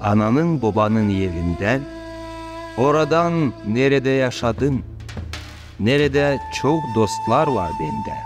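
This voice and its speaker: A man with a Gagauz accent narrates a promo in a slow, measured recitation. His pitch moves in a lively, expressive way. Soft music plays underneath. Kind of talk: scripted narration and poem recital.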